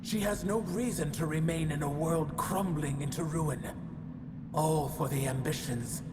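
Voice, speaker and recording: An actress playing an ancient, solemn female giant in a game cutscene, stubborn and wise, speaking in measured, portentous phrases with cavernous reverb. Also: deep voice. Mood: measured, reproachful. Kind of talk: dramatic game cutscene monologue. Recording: long cavernous reverb, noisy ambience.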